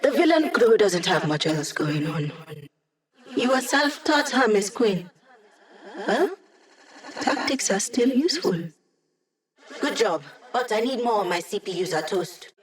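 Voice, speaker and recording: An actress voicing a sardonic young woman hacker who runs tech support for a squad, in video game voice lines with dry, deadpan humor. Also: medium pitch, unhurried, pauses often. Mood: wry.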